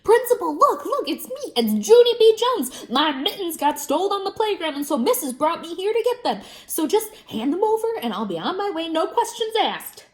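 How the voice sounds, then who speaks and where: Woman voicing a little kindergarten girl, shrill, loud, high and bouncy, breathless outbursts. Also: sing-song, unhurried. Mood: eager.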